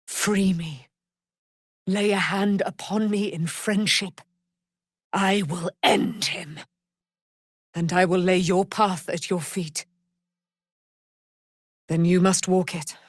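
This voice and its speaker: Casting: a proud immortal female warrior of divine birth in a fantasy game, declamatory and archaic, measured pace, close dry sound. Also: lowish voice, steady tone, no room sound. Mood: haughty resolve. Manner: grandiose, archaic, declamatory diction. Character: proud immortal warrior daughter of a moon goddess. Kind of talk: theatrical fantasy game acting.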